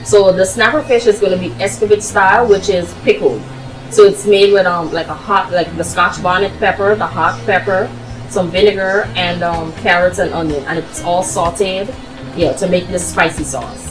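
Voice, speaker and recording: A woman's voice: on the low side, steady tone, normal speed, over music, low-quality recording. A woman chatting casually as an interview guest, explaining things in a talkative way peppered with "you know". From Guyana, raised in Barbados. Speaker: restaurant owner, interview guest.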